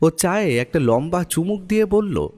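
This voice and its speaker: A man narrating a scripted detective audio story in a deliberate, descriptive storytelling manner. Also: high-pitched, very animated, darkish tone.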